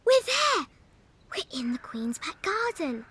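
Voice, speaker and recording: A woman's voice: extremely high pitch, big pitch swings, slow pace, fairly bright tone, slight background noise, exaggerated voice. An English actress playing a brave, polite, bossy young girl, eager and well-spoken, in a dramatized audiobook. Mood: bossy, excited.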